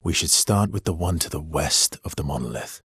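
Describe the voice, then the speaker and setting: An actor playing a weary, guarded immortal expeditioner in a dramatic video game, with a husky, breathy voice and an understated, hesitant, earnest delivery. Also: male voice, animated, a little fast, fairly bright tone, no echo, heavily acted. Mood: urgent, earnest. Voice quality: husky, breathy, gravelly low voice.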